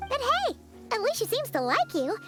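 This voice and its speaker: A tiny floating fairy girl who talks in a squeaky, childlike voice that shoots very high and jumps all over the place. She is blunt and nosy, quick to tease. Soft game music sits under her lines. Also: huge pitch swings, full character voice. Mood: blunt chatty. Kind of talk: game dialogue.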